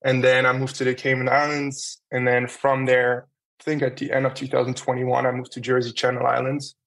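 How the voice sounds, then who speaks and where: A young man who grew up in France and Germany, answering interview questions in a halting way with many fillers. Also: medium pitch, monotone, creaky, fairly bright tone, poor audio. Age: young adult. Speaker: trader guest.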